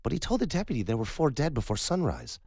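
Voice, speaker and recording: A man voicing a tired young woman medic, speaking in a raised, quick and clipped way. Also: mid-range voice, huge pitch swings, a little mellow, dry recording, pitched above the performer's own voice. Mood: tense.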